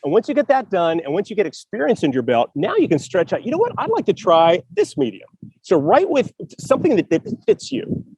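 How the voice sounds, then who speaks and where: A man's voice: high-pitched, huge pitch swings, a little fast, creaky, a little mellow, husky, no echo, rough recording. A man hosting a casual chat about writing, talking loosely with frequent laughs and restarts. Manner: chatty, laughs often, restarts sentences. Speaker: podcast host.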